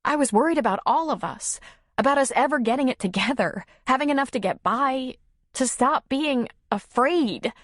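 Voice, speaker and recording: A woman voicing a tearful, timid young single mother who confides haltingly in an audiobook story. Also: high voice, animated, dry recording, clean studio sound, voice pushed up. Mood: emotional confession. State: tearful, sniffling.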